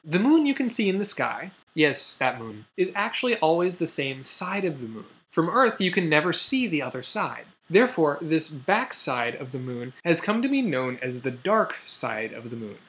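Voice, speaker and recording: A young man with an American accent and a somewhat high-pitched voice hosts a science explainer. His delivery is semi-scripted but conversational. He often breaks off into playful asides and mock exclamations before returning to the explanation. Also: very animated, moderate pace, few pauses, fairly bright tone. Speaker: host of a science news video show.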